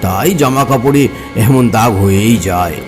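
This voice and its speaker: A man voicing a slyly menacing passenger on a cartoon ghost train, with an insinuating, drawn-out delivery. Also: medium pitch, over music, close to an everyday voice. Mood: eerie, menacing. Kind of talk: animated cartoon voice acting.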